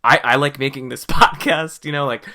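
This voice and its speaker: A man's voice: medium pitch, fairly even intonation, moderate pace, sharp tone, a little hiss or hum. A man hosting a podcast in casual conversation, explaining things in a conversational way. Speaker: podcast host.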